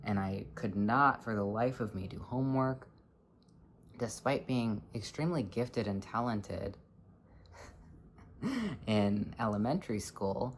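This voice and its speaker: Low-voiced young adult, earnest and halting. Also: expressive, a little hiss or hum. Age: 20s. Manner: earnest, halting, searching for words. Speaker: vlogger. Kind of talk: personal reflective monologue.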